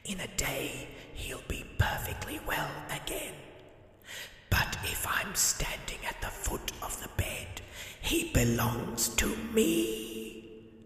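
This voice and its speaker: Whispering, sinister old Death. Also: male voice, a little high, wildly swooping pitch, slow pace, fairly bright tone, echoey room, big-room sound, soft background music, pitched above the performer's own voice, heavily acted. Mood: instructing, ominous. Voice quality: breathy, hissing whisper.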